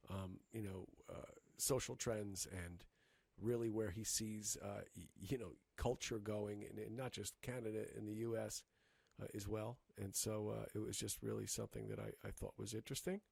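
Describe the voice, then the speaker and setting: A man co-hosting a casual podcast chat, talking in halting bursts with many restarts and fillers. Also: lowish voice, fairly even intonation, creaky, a little mellow, breathy edge, no room sound. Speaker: podcast co-host.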